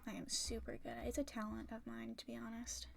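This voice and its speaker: A young woman chatting casually and rambling on a livestream while drawing, swearing freely, her voice a little rough and raspy from talking for a long time. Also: fairly high pitch, little pitch movement, fast talker, a bit bright, slight background noise. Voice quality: slightly raspy. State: tired, sore throat from long talking. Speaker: art streamer.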